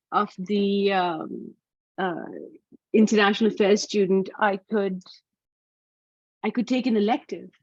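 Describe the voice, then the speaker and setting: Brief: middle-aged woman, academic speaker on a video call, halting delivery with frequent fillers, dull dry audio. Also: medium pitch, no echo. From Pakistan. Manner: hesitant, many fillers and restarts. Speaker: academic lecturer. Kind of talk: online academic talk. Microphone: computer mic on a video call.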